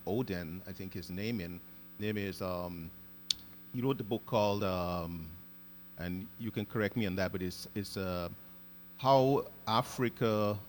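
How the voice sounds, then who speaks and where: A man from Guyana hosting an interview, speaking thoughtfully and often pausing to search for words. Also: on the low side, a little hiss or hum. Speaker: podcast host. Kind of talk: casual interview conversation.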